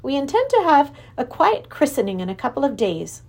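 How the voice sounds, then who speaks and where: A woman voicing an exuberant, lovesick male creature king with antlers, who lisps and gushes in a high voice. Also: fairly quick, gravelly, full character voice. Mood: gushing. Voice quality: lisping. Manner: high, gushing, excitable. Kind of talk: storybook reading.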